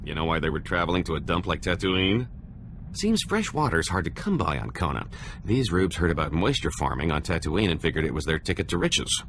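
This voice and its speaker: A man's voice: deep voice, very animated, a little fast, a bit bright, slight background noise. A man playing a sly, greedy con artist in a video game, with a glib, smug, scheming way of talking. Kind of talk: video game cutscene acting.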